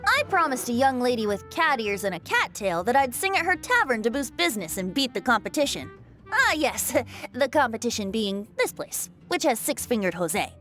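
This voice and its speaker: Lilting, high-voiced playful young bard. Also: female voice, sing-song, normal speed, fairly bright tone. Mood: cheerful teasing. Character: playful, easygoing young male bard, teasing and carefree. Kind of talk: video game cutscene dialogue. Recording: background music under voice.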